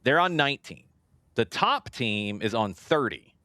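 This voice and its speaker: A man hosts a casual soccer talk show with an animated, swinging pitch. His middle-register voice is recorded dry and close, and he leads the conversation through match previews. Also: unhurried, dry recording.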